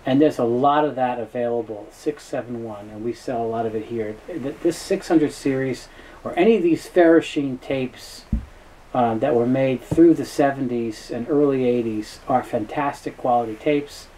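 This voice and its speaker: A man giving an informal, unscripted product explainer in a halting, matter-of-fact, knowledgeable way. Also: mid-range voice, warm tone, poor audio. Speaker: tape dealer presenting a product overview.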